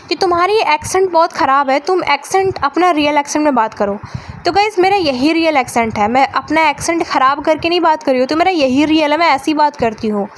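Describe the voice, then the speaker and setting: Young woman, high voice, chatty podcast host, casual commentary, faint background noise. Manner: chatty, mixes English into Hindi, lots of fillers.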